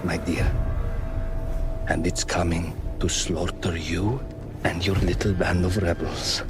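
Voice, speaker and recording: A man's voice: low voice, level tone, music in the background. An actor playing a cruel, gloating Ottoman officer in a video game, with a theatrical, taunting way of talking over his words. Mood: menacing, hushed. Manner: slow, deliberate, theatrical, taunting. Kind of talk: dramatic video game cutscene acting.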